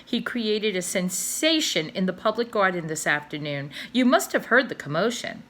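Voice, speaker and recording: Lady reading as a pushy loud boatman, bragging, bright and bouncy, storybook. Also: mid-range voice, lively intonation, normal speed. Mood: indignant. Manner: loud, insistent, indignant. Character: loud, boastful man, a swan's friend.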